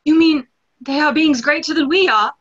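A woman's voice: sits high, moderate pace, fairly bright tone. A young woman playing a dreamy, startled girl full of questioning wonder in a theatrical play reading. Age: young adult. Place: plain room at home, on a video call. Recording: muffled video-call audio.

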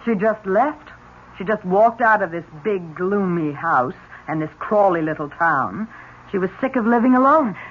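An actress as a brittle, animated young wife. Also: female voice, medium pitch, normal speed, close to an everyday voice. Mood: scornful. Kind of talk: 1970s radio drama acting. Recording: dull, noisy vintage radio broadcast recording.

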